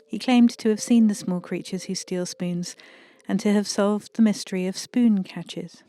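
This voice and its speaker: A woman reading a scripted mock-documentary folklore tale in a measured, deadpan way. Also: medium pitch. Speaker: guest storyteller.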